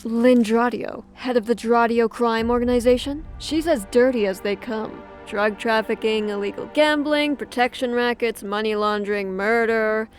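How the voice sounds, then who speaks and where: Woman as a tough, high-voiced, sceptical female cop. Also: steady tone, moderate pace, few pauses, faint music behind, lightly acted. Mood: firm, sceptical. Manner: dry, cynical, firm. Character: tough, no-nonsense, sceptical female police officer. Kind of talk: audio drama acting.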